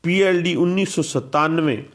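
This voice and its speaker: A man giving a law lecture, explaining a statute slowly and deliberately and repeating key points with English legal terms mixed in. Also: on the high side.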